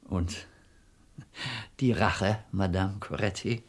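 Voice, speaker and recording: An actor playing a wary, easily exasperated police commissioner who questions in a guarded official way in a radio crime drama. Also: male voice, deep voice, fairly even intonation, slow pace.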